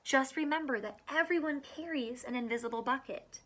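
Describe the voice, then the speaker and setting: A woman's voice: sits high, brisk, fairly bright tone. A woman teacher reading a picture book aloud to children, adding encouraging asides and questions.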